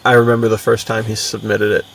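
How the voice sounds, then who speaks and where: A man with a strongly creaky, gravelly voice at an ordinary pitch. He talks like an enthusiastic podcast co-host, loose and slangy. He sounds a little away from the microphone, with faint noise behind him. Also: monotone, fast, fairly bright tone, far from the mic, poor audio. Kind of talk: casual podcast chat.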